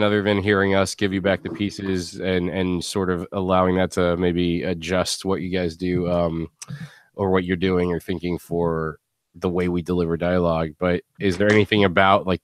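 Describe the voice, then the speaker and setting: A man's voice: sits low, clear, resonant tone. A man hosting a casual podcast interview in a rambling, conversational way, given to chuckling. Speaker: host.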